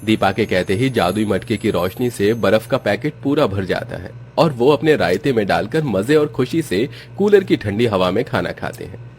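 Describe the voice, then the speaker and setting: A man's voice: on the low side, lively intonation, moderate pace, few pauses, slight background noise. A man giving scripted narration as the storyteller of a Hindi cartoon tale.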